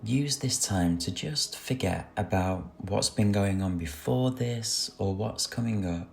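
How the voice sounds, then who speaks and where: A man guides a meditation in a low voice with a creaky edge. He speaks very slowly and soothingly, giving gentle instructions. Soft music plays underneath his voice.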